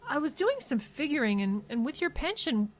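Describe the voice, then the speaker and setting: An actress playing a worried, patient adult daughter in an audio drama, earnest and gently insistent. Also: female voice, sits high, sing-song, a little fast. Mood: concerned.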